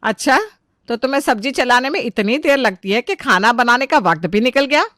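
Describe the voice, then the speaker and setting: A woman's voice: on the high side, expressive, brisk, a bit bright, dry recording, studio quality. An actress playing an exasperated middle-aged mother-in-law, sarcastic and nagging, in a comic family story. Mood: sarcastic scolding. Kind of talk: animated family comedy story acting.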